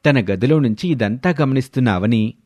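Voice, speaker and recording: A man's voice: medium pitch, animated, moderate pace, darkish tone, no echo. Male storyteller, dry close recording. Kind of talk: scripted story narration with acted dialogue.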